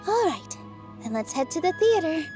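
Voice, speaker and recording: A kind, self-effacing young dancer in a video game. Her voice sits high and light, and she speaks softly and politely. Game music runs underneath. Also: big pitch swings, moderate pace. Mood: gentle. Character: gentle young female dancer, kind and modest. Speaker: game character.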